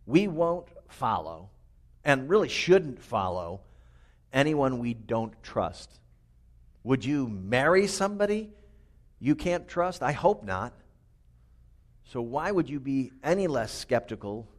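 Loud, resonant preaching man. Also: medium pitch, slow, pauses often. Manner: lively, personal storytelling with humor. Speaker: pastor. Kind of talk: sermon. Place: small chapel. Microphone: microphone.

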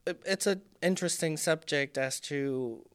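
A man in his thirties from Puerto Rico, a native Spanish speaker, talking as a podcast guest about his design work, thoughtful and pausing with many ums. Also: on the high side, clean tone. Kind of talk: casual interview talk.

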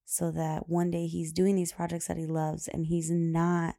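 A woman in her thirties chatting casually on her own podcast, rambling with lots of fillers, laughing often, with a loud voice. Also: on the low side, completely flat delivery, a little slow, gravelly, no room sound, clean studio sound. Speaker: podcast host. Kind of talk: casual solo podcast chat.

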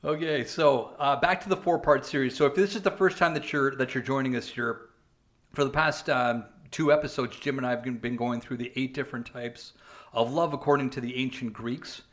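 A man's voice: mid-range voice, steady tone, fairly quick, a bit bright. A young man co-hosting a podcast, talking casually and rambling with frequent restarts, filler words and "man". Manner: chatty, frequent restarts and "man", "you know". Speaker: podcast co-host. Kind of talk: casual chat.

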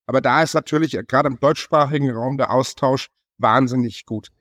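A man from a national chefs' association explaining in a podcast conversation, listing things in a brisk, enthusiastic way. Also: medium pitch, a bit bright, no echo, studio quality. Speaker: chef association representative.

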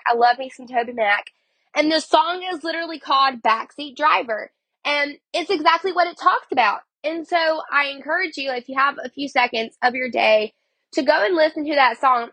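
A woman's voice: sits high, pushed and bright, dry recording. A woman with a cold, sounding sniffly, hosting a casual devotional podcast in a chatty way, saying "like" a lot. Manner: chatty, heavy use of "like". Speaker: podcast host.

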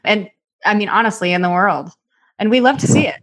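A woman's voice: mid-range voice, brisk, rough recording. A woman hosts a podcast in a loose, chatty way. She fills her sentences with "like" and keeps the tone casual and conversational. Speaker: podcast host.

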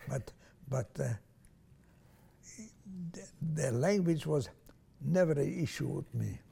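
A man's voice: medium pitch, expressive, slow, dark tone. An older man from Transylvania, a native Hungarian speaker, recalling his life in an oral history interview, with many restarts. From Transylvania, Romania. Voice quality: gravelly, husky, hesitant with many restarts.

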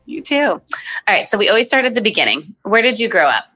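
A woman's voice: fairly high pitch, sing-song, quick pace, bright, forceful tone, faint music behind. A woman hosting a podcast interview, asking friendly, upbeat questions. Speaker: podcast host, magazine editor. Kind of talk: scripted intro then interview questions.

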